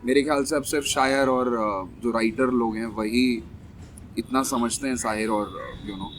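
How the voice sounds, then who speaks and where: A man talking casually as a guest, reflective, with frequent pauses and English phrases. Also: mid-range voice, a little hiss or hum. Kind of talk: casual conversation.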